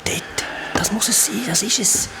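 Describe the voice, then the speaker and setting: An actor voicing a brave young adventurer who leads the way, speaking Swiss German eagerly and often in a hushed whisper. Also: medium pitch, moderate pace, creaky, close to an everyday voice. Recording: heavy background noise.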